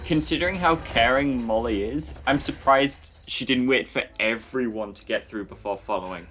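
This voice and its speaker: A man's voice: medium pitch, moderate pace, a bit bright, soft background music, low-quality recording. A man co-hosting a film commentary in a casual, joking chat.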